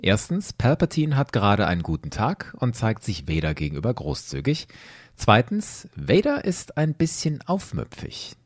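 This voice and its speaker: A man narrating a dramatic story as host, expressive and addressing listeners directly. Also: lowish voice, normal speed, no echo, clean studio sound.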